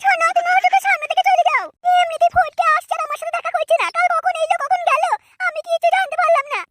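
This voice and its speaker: Tiny-pitched bossy, flirty cartoon wife. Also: female voice, steady tone, very fast, very noisy. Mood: scornful. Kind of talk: comic cartoon dialogue. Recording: music bed behind the voice.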